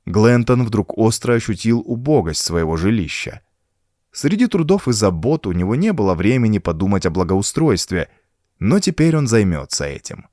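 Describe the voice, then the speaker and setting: A man's voice: on the low side, sing-song, normal speed, mellow, no echo, clean studio sound. A man reading a horror story as an audiobook narrator, in a dramatic scripted style.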